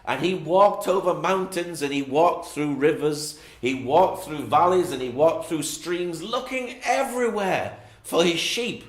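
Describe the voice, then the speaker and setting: A man's voice: fairly high pitch, a little slow, reverberant. A man narrating a children's Bible bedtime story, with repetitive, sing-song storytelling phrasing.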